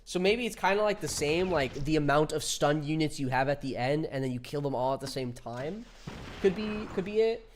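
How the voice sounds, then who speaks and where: A man's voice: a little high, animated, fairly quick, slight background noise. A man in his twenties chatting casually with viewers while streaming a game, laughing often and reading chat aloud. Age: 20s.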